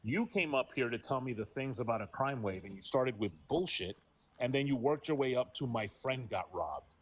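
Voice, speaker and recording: A man's voice: mid-range voice, animated, moderate pace. A man co-hosting a heated debate, combative and mocking, who keeps repeating his challenges.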